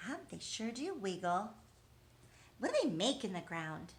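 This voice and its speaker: A woman's voice: mid-range voice, big pitch swings, a little slow. A woman teaching young children in an encouraging way, asking questions, answering them as if replying to the children and repeating key words. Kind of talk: interactive lesson for young children.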